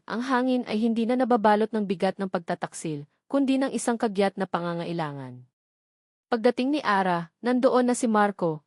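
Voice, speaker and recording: A woman reading a scripted dramatic love story as narrator, in a measured storytelling manner. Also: medium pitch, darkish tone, no room sound, studio quality.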